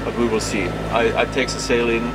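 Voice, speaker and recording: A middle-aged man, a German native speaker, chatting casually to camera from a sailboat cockpit at sea, with plain wording and frequent pauses. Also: medium pitch, level tone. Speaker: solo sailor vlogger. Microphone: camera mic at close range. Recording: wind and water noise at sea.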